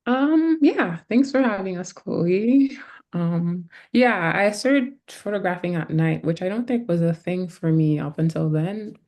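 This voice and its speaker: Mellow, very low-voiced woman photographer. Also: animated, measured pace. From Haiti. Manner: casual, exploratory, thinking aloud. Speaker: photographer, panel guest. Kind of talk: conversational artist talk.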